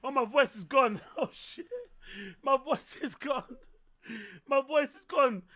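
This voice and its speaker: A man reacting live to a football match, excitable and yelling and swearing at the screen. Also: extremely high pitch, slow pace. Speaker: streamer host.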